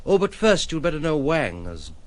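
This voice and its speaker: A troubled gentleman with swooping delivery. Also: fairly high pitch, huge pitch swings, normal speed. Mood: uneasy, earnest. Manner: articulate, formal, theatrical phrasing. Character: troubled, principled gentleman, articulate, brooding and protective. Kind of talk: audio drama acting.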